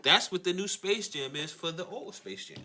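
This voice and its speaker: A man's voice: high voice, animated, moderate pace, sharp tone. An American man co-hosting a podcast in a casual debate, opinionated and talking in profane slang. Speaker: podcast co-host.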